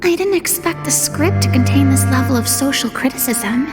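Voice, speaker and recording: A cheerful, easily puzzled young female sidekick from a video game. She muses aloud in a very high, animated, chirpy voice over clear music. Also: moderate pace. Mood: puzzled, curious.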